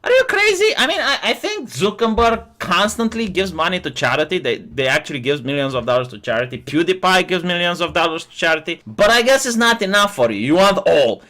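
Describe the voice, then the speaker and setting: A man's voice: high-pitched, big pitch swings, fairly quick, hardly any pauses, pushed and bright. A man in a heated online rant, indignant, stammering and hurling insults. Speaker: clipped opponent being rebutted.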